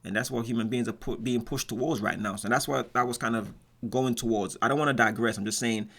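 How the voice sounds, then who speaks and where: A man's voice: medium pitch, steady tone, creaky, a bit bright. An American man hosting a reaction video, talking casually and rambling with frequent restarts. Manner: rambling, restarts often, drawn-out fillers. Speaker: reaction channel host.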